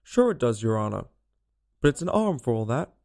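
A man's voice: medium pitch, sing-song, brisk, vocal fry, darkish tone, voice pushed down. A man voicing a meek but stubbornly honest servant, folksy and deferential, in a storybook reading. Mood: deferential, reluctant.

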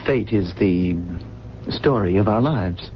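Weary amnesiac gentleman, radio drama, halting, pensive, cultured diction, dull audio, slight hiss. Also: lowish voice. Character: lost, weary, gentle, educated amnesiac man. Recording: dull, noisy 1970s radio recording.

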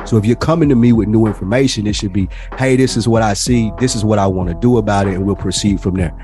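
Low-pitched man chatting on a podcast. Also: fast, soft background music. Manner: slangy, profane, animated storytelling. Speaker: podcast co-host. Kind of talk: casual podcast chat.

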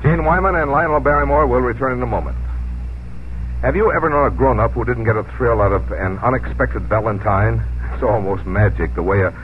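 A man's voice: medium pitch, fairly quick. An American man working as a radio announcer, reading a commercial in 1950s style. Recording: tinny 1950s radio recording, faint noise.

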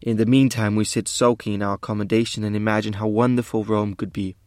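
A man playing a German army medical officer of the Second World War, reading his diary in a measured, formal way. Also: fairly low pitch, level tone, darkish tone, no room sound, clean studio sound, natural voice. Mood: resigned.

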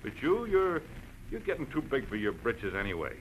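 An American actor playing a menacing, short-tempered crooked police lieutenant in a 1940s radio drama, snarling and domineering. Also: male voice, medium pitch, huge pitch swings, moderate pace, dull audio. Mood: defiant. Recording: noisy vintage radio recording.

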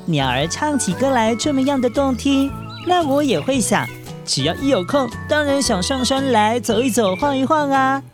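A man voicing an excitable, curious young boy in a children's fairy tale, exclaiming with wonder. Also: very high-pitched, moderate pace, music in the background, pitched above the performer's own voice. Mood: awed, happy.

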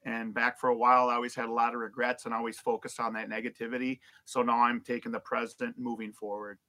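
A man from Minnesota with a Minnesota accent, a musician guest talking in a relaxed, plain-spoken way. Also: medium pitch, quick pace. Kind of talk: casual interview chat.

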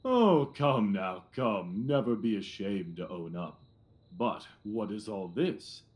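A man's voice: lowish voice, lively intonation. A man playing a pompous, self-satisfied middle-aged police detective in an audio drama, blustering and condescending with emphatic repetitions. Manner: blustering, condescending, repeats himself, emphatic pauses.

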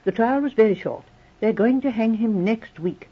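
A woman's voice: on the high side, normal speed, breathy edge. A woman playing a gossipy, teasing sister and colonel's wife, exclamatory, in 1940s radio drama style. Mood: gossipy, eager. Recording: muffled old radio recording.

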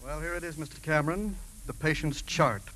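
An actor playing a formal, guarded hospital doctor with a precise manner in a 1950s radio drama. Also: male voice, fairly high pitch, fairly quick, music in the background, lightly acted. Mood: clinical. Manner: precise, clinical. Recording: faintly noisy 1950s radio recording.

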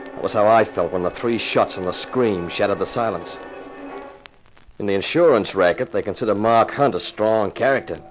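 A man's voice: on the low side, moderate pace, faint music behind, natural voice, low-quality recording. An actor playing a tough, wisecracking insurance investigator who narrates his own case in a 1950s radio crime drama, with a sardonic, commanding manner. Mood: tense, wry. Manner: hard-boiled, sardonic, commanding.

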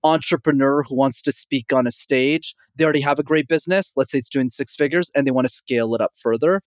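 A man's voice: medium pitch, quick pace, no echo, clean studio sound. A young man from Montreal, Canada, a communication coach answering interview questions with confident energy and often saying the host's name. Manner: energetic, confident, frequently addresses host by name.

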